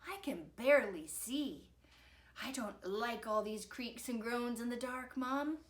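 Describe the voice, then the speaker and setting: A woman voicing a frightened, excitable little boy who plays at being a brave knight in a storybook, high and wailing in delivery. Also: a little slow, close to an everyday voice. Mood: excited, uneasy. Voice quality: high, shrill.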